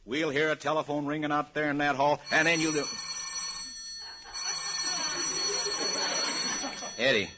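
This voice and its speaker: An actor playing a blustering, boastful wheeler-dealer, rambling and self-important, in an old radio comedy. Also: male voice, on the high side, fairly even intonation, fast talker, noisy background, close to an everyday voice. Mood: confident. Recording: muffled old radio recording.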